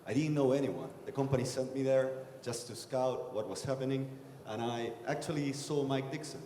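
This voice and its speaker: Young Chilean man, mellow, hesitant panelist, roomy hall. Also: medium pitch, normal speed, a little hiss or hum. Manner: hesitant, many fillers, self-described timid. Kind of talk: conference panel talk, storytelling. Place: large conference hall. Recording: echoey conference hall, long reverb.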